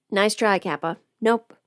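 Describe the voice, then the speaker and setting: A woman's voice: medium pitch, clear, resonant tone, no echo. A woman casually chatting on a livestream while doing her makeup, playful and absent-minded, muttering to herself in a close-miked voice. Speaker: livestream host, voice performer.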